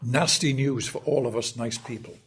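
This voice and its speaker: An older man from northeast England, giving a measured, emphatic academic lecture and often clearing his throat. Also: medium pitch, fairly even intonation, noisy background. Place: lecture hall.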